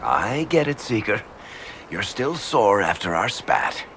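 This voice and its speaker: A wry rogue storyteller from a fantasy game. The voice is deep, gravelly and forceful. He talks casually and theatrically, always ready to tease, over faint noise. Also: big pitch swings, takes it slowly, exaggerated voice. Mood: teasing. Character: wry, roguish storyteller, loyal and teasing. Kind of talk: video game character acting.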